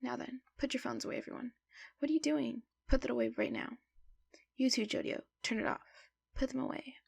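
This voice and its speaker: A woman playing a commanding middle-aged school principal who runs a criminal crew, husky and creaky, measured and businesslike, in an anime-style fan dub. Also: sits high, darkish tone, heavily acted. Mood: stern, bossy.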